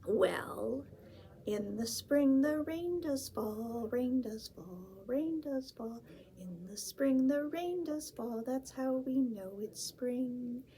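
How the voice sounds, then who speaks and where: A woman voicing a calm grown-up mouse who explains things in a soft, half-whispered, sing-song way. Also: on the high side, takes it slowly, creaky, heavily acted, rough recording. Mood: patient.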